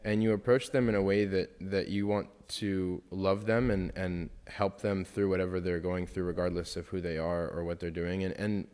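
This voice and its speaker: A young man with a low voice talks earnestly on a casual podcast, reflecting on ideas and sometimes acting out other people's words. His voice carries a long reverb from the room. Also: steady tone, moderate pace, few pauses, darkish tone, clear, resonant tone. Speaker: podcast co-host.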